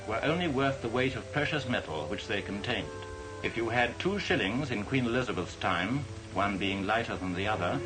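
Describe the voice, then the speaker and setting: A man giving formal, measured, didactic narration in the style of a 1940s educational film. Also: mid-range voice, poor audio. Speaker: documentary narrator. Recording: vintage 1940s film soundtrack. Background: music.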